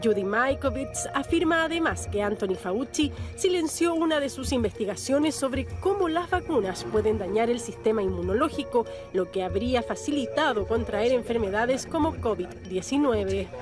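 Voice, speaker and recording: A woman reading a news report in a measured, even way. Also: fairly high pitch, music in the background, lightly acted. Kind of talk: scripted news narration.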